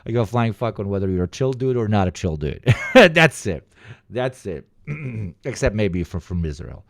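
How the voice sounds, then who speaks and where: Man, Eastern European accent, low voice, dry close sound, profane rambling reaction talk. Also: normal speed, vocal fry, no echo. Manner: profane, rambling, animated bursts, many fillers. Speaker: streamer reacting to a video. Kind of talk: casual rambling reaction commentary.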